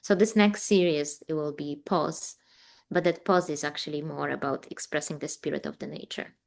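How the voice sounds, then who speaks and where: A woman's voice: low-pitched, steady tone, normal speed, gravelly. A woman in her forties from Poland with a Polish accent, chatting in an interview as a guest artist and rambling with fillers. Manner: rambling, warm, frequent fillers and laughs. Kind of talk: casual interview chat.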